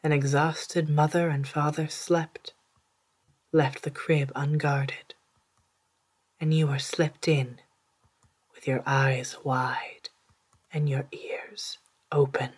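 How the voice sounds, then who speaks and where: A storyteller of ambiguous gender narrating a literary tale in a soft, hushed, breathy voice, with measured and deliberate phrasing. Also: mid-range voice, little pitch movement. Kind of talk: scripted literary narration in second person, storytelling.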